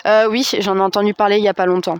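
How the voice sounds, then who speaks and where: A woman's voice: medium pitch, flat delivery, very fast, slight background noise. A woman giving hesitant, tentative answers in a casual street interview. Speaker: local resident in a street interview. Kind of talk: casual vox pop.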